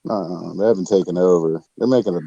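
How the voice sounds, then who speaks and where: Calm low-voiced man, mellow tone. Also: fairly quick, creaky, dry recording, dull audio. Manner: calm, matter-of-fact. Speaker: participant in a group voice chat. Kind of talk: casual chat.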